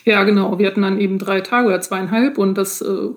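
A woman's voice: mid-range voice, fairly even intonation, a little fast. Woman guest talking casually, laughing often. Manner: hesitant, with frequent laughter. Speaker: podcast guest, author. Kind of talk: casual interview, explaining from experience.

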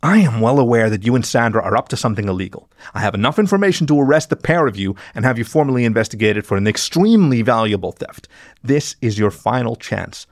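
A man's voice: medium pitch, animated, fast talker, no room sound, clean studio sound, natural voice. Man playing a firm, probing private detective. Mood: commanding. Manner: firm, pressing, controlled.

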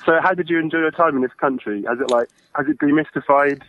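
A man's voice: on the high side, fast. A man with a Birmingham accent, calling in to a show and chatting casually in a self-deprecating way. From England. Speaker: caller. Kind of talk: casual phone chat. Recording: tinny phone line.

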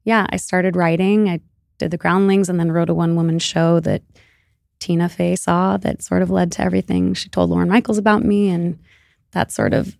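A woman's voice: on the low side, flat delivery, moderate pace, gravelly, darkish tone, no room sound, clean studio sound. A woman from Iran, Persian her first language, chatting candidly as a podcast guest, often laughing and using fillers like "you know" and "like". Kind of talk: casual interview chat.

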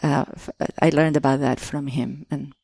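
A woman from Argentina with an Argentine Spanish accent, a native Spanish speaker, talking as an artist guest in a casual interview, pausing and restarting often. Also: low-pitched, steady tone, gravelly, darkish tone, husky, no echo. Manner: hesitant, many restarts and fillers.

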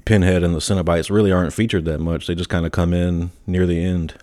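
A man's voice: sits low, level tone, fast, darkish tone. A man hosting a podcast, asking questions in a casual, conversational interview style.

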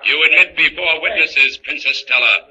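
An actor playing an unseen crime fighter posing as a ghost, ominous and commanding in a declamatory 1940s radio drama style. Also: male voice, on the high side, normal speed, sharp tone. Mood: commanding, accusing. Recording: dull old 1940s radio recording.

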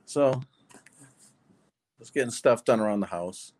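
A man's voice: mid-range voice, measured pace, a little mellow. A man with a nasal voice, chatting easily as a podcast guest. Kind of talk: casual chat.

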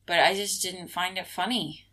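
A woman's voice: fairly low pitch, steady tone, normal speed, a bit bright, rough recording. A woman in casual conversation about a film, analytical, retelling scenes and quoting the characters.

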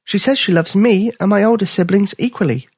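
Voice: mid-range voice, a little fast, slightly rough, no echo, clean studio sound, voice pushed up, lightly acted. A storyteller voicing a young male bear cub who is playful and kind. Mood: earnest. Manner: playful, earnest.

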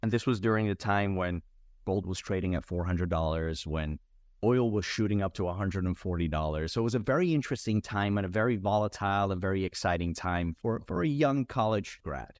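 A man's voice: sits low, a little fast, a little mellow, no echo, clean studio sound. A man around forty, from Turkey with an American accent, telling his career story conversationally as an interview guest. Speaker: podcast guest, finance executive.

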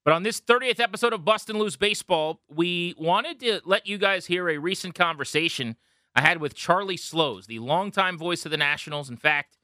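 A man's voice: on the high side, pushed and bright, no room sound, studio quality. An American man hosting a sports podcast, talking fluently and emphatically as he comments and interviews.

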